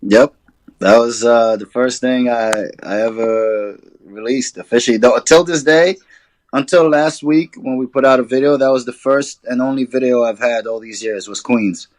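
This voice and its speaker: Man, from Sudan, rapper guest, relaxed slangy chat, middle pitch, dry sound. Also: normal speed, dry recording, rough recording. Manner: laid-back, relaxed hip hop slang. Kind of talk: casual interview chat.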